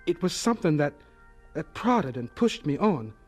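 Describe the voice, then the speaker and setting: Earnest, humble male scientist for radio drama; higher voice, very animated, halting with emotion. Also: slightly rough, faint music behind. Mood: earnest, resolute. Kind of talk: audio drama acting.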